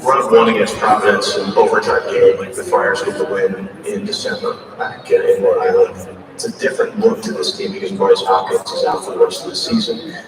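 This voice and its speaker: A man's voice: sits low, monotone, a little fast. A man hosting a sports pregame radio show, interviewing and giving commentary. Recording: echoey arena PA sound, far off, crowd noise. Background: crowd in arena.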